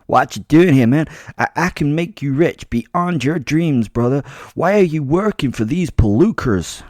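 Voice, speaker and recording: A man's voice: fairly high pitch, lively intonation, measured pace, dry recording, studio quality, pitched above the performer's own voice. A man putting on a brash, crude male gangster with a New Jersey accent, blustering and threatening. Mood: wheedling. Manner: loud, blustering tough-guy talk.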